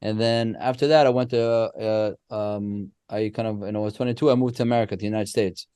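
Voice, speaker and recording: A man, a podcast guest who explains ideas through animated, restart-filled stories. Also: lowish voice, fast, clean tone, no room sound. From Israel. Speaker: guest, company co-founder. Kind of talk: casual podcast interview.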